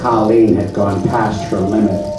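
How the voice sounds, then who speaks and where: A man narrating a comedy puppet show on stage with mock solemnity, deadpan, pompous and halting, in a very echoey, distant recording. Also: fairly low pitch. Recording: very echoey, distant mic, music behind.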